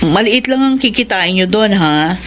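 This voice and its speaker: A woman with a Filipino accent playing a helpful, friendly doctor friend in a radio drama, gently persuasive. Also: medium pitch, lively intonation, moderate pace, natural voice. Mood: friendly, earnest. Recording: faint background noise.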